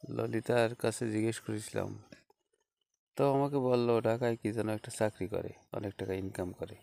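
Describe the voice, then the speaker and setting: Casting: male actor as hesitant, courteous Bangladeshi ex-teacher on a phone call, warm timbre with some fry. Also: fairly low pitch, steady tone, no room sound. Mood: hesitant, explaining. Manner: hesitant, halting, with frequent small acknowledgements. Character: hesitant, polite unmarried former schoolteacher phoning an old schoolfriend. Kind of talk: staged phone-call audio story, conversational.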